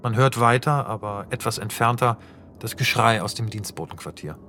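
A man's voice: mid-range voice, moderate pace, pushed and bright, slight background noise. A man narrating scripted stage directions for a radio drama in a precise, matter-of-fact literary delivery.

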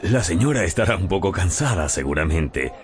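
An actor playing an obliging, self-important town pharmacist in a radio drama, with a courteous, officious manner. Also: male voice, low-pitched, very animated, brisk.

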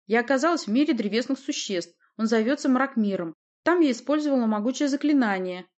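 An imperious young sorceress from a fantasy storybook, acted out by a woman narrator. She speaks in a raised, commanding voice, sharp and insistent, defiant toward anyone who doubts her. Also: fairly high pitch, lively intonation, fairly quick, muffled recording, voice pushed up, close to an everyday voice.

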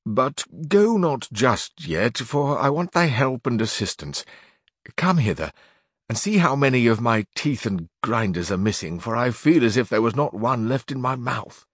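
A man's voice: medium pitch, big pitch swings, husky, dry recording. A man doing a solemn deluded old knight. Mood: lofty. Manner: slow, archaic, lofty speech. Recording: dry close recording.

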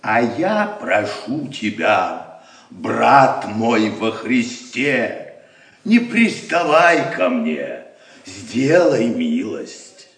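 An actor playing a grumpy, sanctimonious hermit monk with a gravelly, drawn-out voice in an audio drama, meek on the surface and menacing underneath. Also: male voice, lowish voice, big pitch swings, distant mic, exaggerated voice. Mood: grumpy piety. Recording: echoey cell, off the mic.

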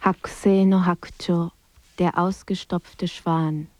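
A woman narrating a literary radio drama in a calm, restrained and measured manner. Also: lowish voice, slight background noise. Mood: solemn. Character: restrained, somber female narrator of a writer's decline.

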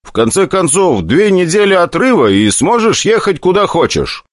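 A brash young man, pushy and excited, talking a friend into some fun, as a male narrator puts him on. His voice rides high and bounces around a lot. The sound is close and dry. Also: moderate pace, no echo.

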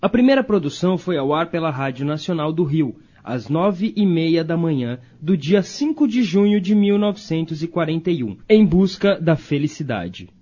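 Male Brazilian documentary narrator, high-pitched and soft-toned, slightly dull sound. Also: big pitch swings, moderate pace, few pauses. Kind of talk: scripted radio documentary narration.

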